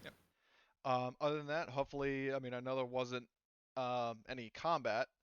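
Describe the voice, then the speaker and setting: A man running a tabletop roleplaying session as game master, explaining things casually and haltingly. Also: medium pitch, flat delivery, fairly bright tone, clear, resonant tone, dry recording, highs cut off.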